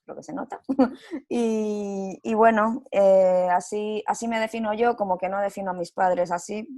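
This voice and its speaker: A woman's voice: medium pitch, measured pace, dark tone. A woman with a Spanish accent from Spain, talking casually and expressively as an interview guest.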